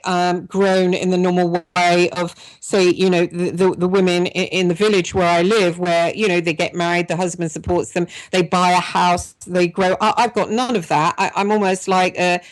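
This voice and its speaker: A woman's voice: on the low side, rough recording. A middle-aged woman hosting a radio interview, talking in long rambling stretches full of restarts and repeated words. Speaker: radio show host. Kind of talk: conversational interview.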